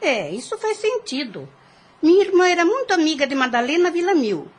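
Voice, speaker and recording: An actress plays a haughty, calculating lady in a period radio play. Her high voice rises and falls freely, formal and theatrical as she probes and schemes. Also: big pitch swings, brisk. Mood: inquisitive, suave. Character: haughty inquisitive grown woman, calculating heiress and mother. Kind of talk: religious audio drama acting.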